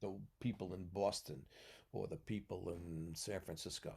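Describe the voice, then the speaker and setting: A man from New York with a low voice holds forth in a relaxed podcast conversation. He explains at length like a teacher and keeps tagging his points with "okay?". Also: normal speed, creaky, slightly rough. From New York, US Northeast. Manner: lecturing, tags points with "okay?". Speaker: podcast guest, mentor. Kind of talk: casual chat.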